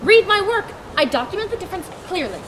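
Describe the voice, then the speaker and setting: A woman's voice: very high voice, very animated, fast. Woman reading an indignant male nature writer. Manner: indignant, emphatic. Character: proud, prickly male nature writer living in a cabin. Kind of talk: amateur dramatic reading. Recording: outdoor traffic noise behind. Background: traffic noise.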